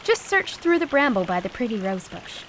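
A young woman playing a sweet, innocent princess raised in the woods who speaks gently and laughs easily. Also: a little high, sing-song, normal speed, a little hiss or hum, rough recording. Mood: gentle. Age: young adult. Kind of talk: audio drama acting.

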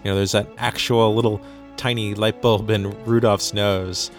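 A man hosting a podcast, chatting in a relaxed, conversational way and reading ads with light hesitations. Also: lowish voice, moderate pace, faint music behind. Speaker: podcast host. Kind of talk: chatty intro and ad reads.

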